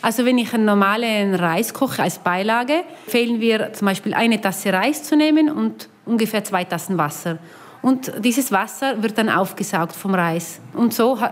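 A woman's voice: mid-range voice, moderate pace. A woman from Austria with an Italian tinge to her Austrian accent, a farmer explaining things hesitantly in an interview. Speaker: rice farmer being interviewed.

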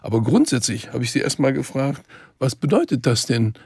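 Enthusiastic guy co-hosting radio, kinda creaky husky voice, gushes about stuff, dry close sound. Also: medium pitch, sing-song, a little fast, a little mellow, no room sound. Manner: enthusiastic, effusive. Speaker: radio co-host and food reporter. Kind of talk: enthusiastic conversational radio talk.

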